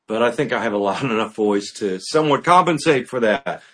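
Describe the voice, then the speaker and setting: Male pastor, mid-pitched, earnest and emphatic, preaching a livestream through a built-in mic, with frequent "uh" fillers. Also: lively intonation, brisk, fairly bright tone, slightly rough. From United States. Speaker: pastor teacher. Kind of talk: sermon-style livestream teaching. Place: indoor room with natural acoustics. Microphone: built-in mic, no external microphone.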